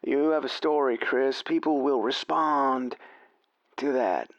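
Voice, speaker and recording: An actor playing a genial older rodeo radio announcer with a folksy, theatrical, persuasive way of talking. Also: male voice, mid-range voice, slow pace, no room sound, highs cut off. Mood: earnest. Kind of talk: radio play acting.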